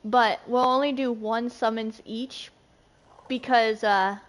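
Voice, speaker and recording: A chatty, excitable woman livestreaming a game, casually reading out chat and dialogue text. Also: fairly high pitch, takes it slowly, low-quality recording. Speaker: game streamer.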